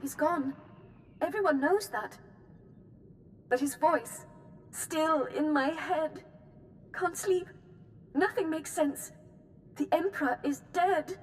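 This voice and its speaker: Very high-pitched, tormented young Sith woman. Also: a little hiss or hum. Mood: haunted, pleading. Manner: fragmented, halting, desperate short phrases. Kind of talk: video game voice acting.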